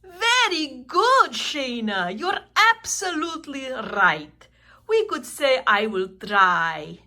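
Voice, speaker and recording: Lady doing a kindly puppet uncle for kids, bright voice, swoops around, teaching and exclaiming. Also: sits high, big pitch swings, full character voice. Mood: instructive. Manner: exclaiming, slow, instructive. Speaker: children's puppet show performer. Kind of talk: puppet show for children.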